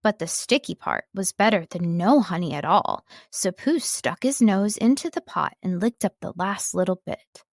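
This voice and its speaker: A woman reading scripted story narration as an audiobook narrator, with expressive, dramatic storytelling. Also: fairly low pitch, normal speed, few pauses, no echo, studio quality. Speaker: audiobook narrator, voice-over artist.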